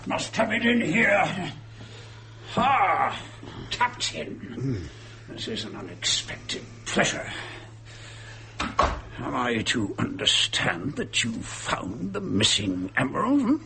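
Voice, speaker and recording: An actor playing a cantankerous, imperious English lord in a radio drama, pompous, sputtering and dismissive. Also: male voice, mid-range voice, huge pitch swings, slow pace, vocal fry, long pauses, noisy background, full character voice. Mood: fussy, distracted.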